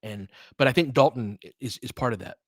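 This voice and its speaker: A man's voice: mid-range voice, a little fast, dry recording. Man calling live basketball, dry close sound. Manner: conversational, partisan home-team rooting, exclaiming on big plays. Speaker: play-by-play commentator and analyst. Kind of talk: live basketball commentary with casual analysis.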